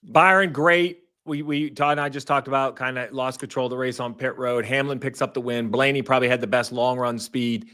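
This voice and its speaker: A man with a hoarse voice hosting a live post-race show, upbeat and conversational. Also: medium pitch, flat delivery, brisk, few pauses, pushed and bright. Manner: upbeat, conversational, reads fan chat aloud. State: hoarse, says he lost his voice. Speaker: show host.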